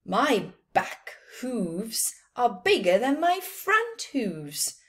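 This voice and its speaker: A woman's voice: a little high, huge pitch swings, drawn-out words, a bit bright, heavily acted. A woman voicing a caring, encouraging male hoofed animal in a children's story, earnest and emphatic. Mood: reassuring.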